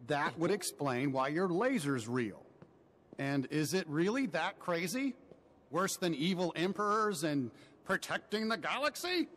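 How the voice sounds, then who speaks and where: An earnest cowboy toy sheriff in an animated game, loyal and persuasive. His voice runs high and lively, with emphatic pleading and long reverb around it. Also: unhurried, a little hiss or hum. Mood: reasoning. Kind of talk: animated video game dialogue.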